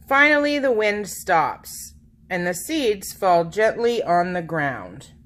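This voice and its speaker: Expressive, bright-voiced woman reading a children's story. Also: mid-range voice. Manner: expressive, dramatic emphasis with drawn-out words. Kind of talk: read-aloud picture book narration.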